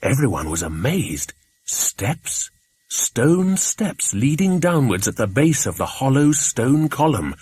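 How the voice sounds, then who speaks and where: Guy reading a kids adventure story, expressive, lots of pitch movement, a bit rough-edged. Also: slow pace. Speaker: audiobook narrator. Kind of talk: scripted children's adventure narration.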